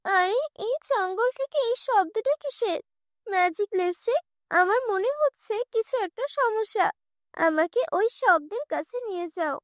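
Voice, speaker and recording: A woman voicing a brave, cheerful young girl heroine in a cartoon, with a bright, eager manner. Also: very high voice, expressive, normal speed, dry recording, clean studio sound. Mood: curious. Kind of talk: cartoon dubbing.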